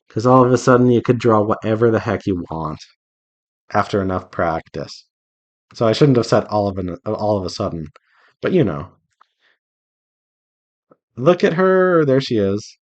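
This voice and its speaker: A man's voice: fairly low pitch, darkish tone, highs cut off. A man talking casually and earnestly in a rambling, advice-giving way, like an artist chatting while working. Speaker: visual novel developer and artist hosting a drawing devlog.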